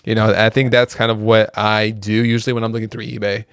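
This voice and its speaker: A man hosting a casual podcast, relaxed and easygoing, reading out viewer questions. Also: fairly low pitch, quick pace, smooth, dry recording, clean studio sound. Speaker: podcast host.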